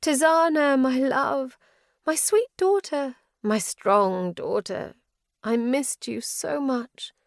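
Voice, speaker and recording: A woman voicing the ghost of a dead mother who pleads with her child in a breathy, tender way. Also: sits high, takes it slowly, no echo, studio quality, pitched above the performer's own voice. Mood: tender pleading.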